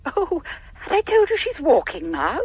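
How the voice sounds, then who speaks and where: An actress playing a blithely tactless, chatty caller through a telephone filter in a radio drama. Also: female voice, high-pitched, wildly swooping pitch, measured pace, dull audio, exaggerated voice. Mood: breezy.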